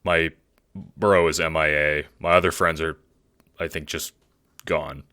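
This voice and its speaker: A man voicing a laid-back young dude in an audio drama, slangy and deadpan with hesitant pauses, masking dread. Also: very deep voice, smooth, dry recording, clean studio sound, heavily acted. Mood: anxious, casual.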